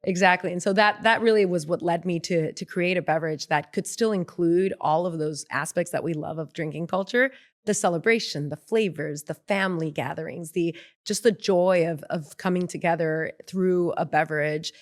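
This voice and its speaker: Woman, from Mexico, low-ish voice, relaxed interview talk, frequent fillers. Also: normal speed. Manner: relaxed, chatty, frequent ums and restarts. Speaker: guest, beverage brand founder. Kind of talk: casual interview.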